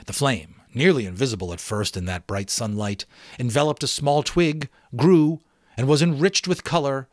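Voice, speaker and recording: A middle-aged man giving an expressive, theatrical amateur reading of a novel, with occasional asides to listeners. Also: mid-range voice, normal speed, dry recording, clean studio sound. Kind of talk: dramatic audiobook narration with asides to listeners.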